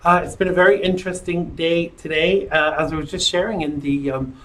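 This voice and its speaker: A man's voice: a little high, fairly even intonation, fairly quick, a bit bright, rough recording. A middle-aged man hosting a live-stream talk show, chatting in a rambling, jokey way with lots of fillers.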